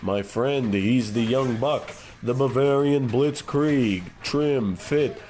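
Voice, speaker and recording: A man playing a self-assured head goon and smooth-talking extortionist with florid patter, in a comic radio play. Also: mid-range voice, takes it slowly, warm tone, close to an everyday voice. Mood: admiring, boastful. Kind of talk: comic old-time radio play acting.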